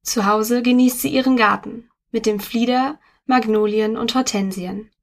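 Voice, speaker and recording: Female narrator reading print copy aloud, steady and measured, on the higher side, close mic. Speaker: article reader. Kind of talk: scripted narration of a magazine article.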